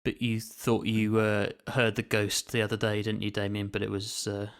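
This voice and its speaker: A man's voice: on the low side, monotone, normal speed, smooth. A man chatting casually as a podcast co-host. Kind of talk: casual chat.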